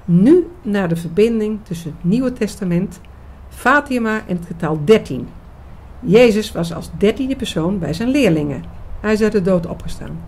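A woman's voice: mid-range voice, expressive, moderate pace, a little mellow, breathy edge. A woman playing an eager, knowing researcher in a scripted radio drama, explaining mysteries with emphasis. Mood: intent, intriguing. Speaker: actress in an audio drama.

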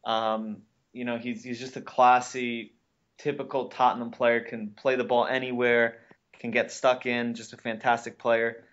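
A man's voice: medium pitch, level tone, normal speed, a bit bright, highs cut off. A man giving casual sports analysis as a podcast panellist.

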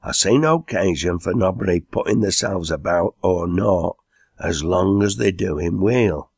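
Guy doing an old lancashire lad making a homely speech, creaky voice, unhurried, story reading. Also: deep voice, animated, no room sound, clean studio sound, full character voice. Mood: easygoing. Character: reluctant, easygoing old Lancashire chairman at a drinking meeting.

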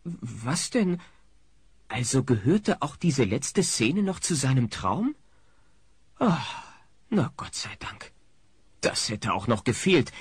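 A man's voice: mid-range voice, husky, no room sound. A man narrating an audio drama as a scripted storyteller.

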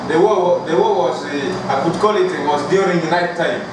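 A young man from northern Uganda with a northern Ugandan accent, recalling his life in interview answers, haltingly and often repeating phrases. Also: on the high side. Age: young adult. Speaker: interviewee, athlete. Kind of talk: interview answers, personal recollection. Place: small bare room indoors. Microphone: camera mic a few feet away. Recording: very echoey room, distant mic, clear background noise.

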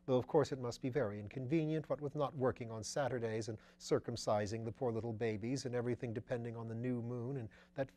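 A man's voice: dark tone, lightly acted. A man voicing a rambling, gossipy old duchess. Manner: breathless rambling gossip, lifting high on phrases.

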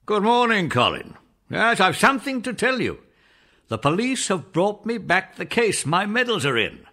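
A man acts a kindly old British general in a children's audio play. He is hearty and jolly, his pitch lifting high and swinging widely. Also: big pitch swings, moderate pace, pushed and bright, full character voice. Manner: hearty, chuckling exclamations.

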